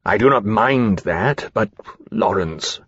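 An earnest, sensitive storybook dragon voiced by a man speaks in a lowered voice. He is plaintive and confiding, hesitating as if easily hurt. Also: lively intonation, slow, fairly bright tone, husky, dry recording, muffled recording, heavily acted. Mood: hesitant, confiding.

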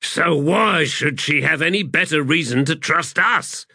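Narrator playing a crude sardonic sergeant, soldier slang, raised bright voice, lively. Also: male voice, medium pitch, takes it slowly, vocal fry, no echo, clean studio sound, voice pushed up, heavily acted. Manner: coarse soldier slang, sneering. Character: gruff male marine sergeant, sardonic and crude.

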